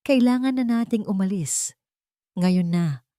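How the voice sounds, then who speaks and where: Woman playing a soft-spoken motherly older woman. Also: mid-range voice, expressive, a little slow, no room sound, studio quality, lightly acted. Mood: worried, urgent. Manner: hushed, urgent when worried. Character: kindly older eatery woman, motherly, guarded, protective.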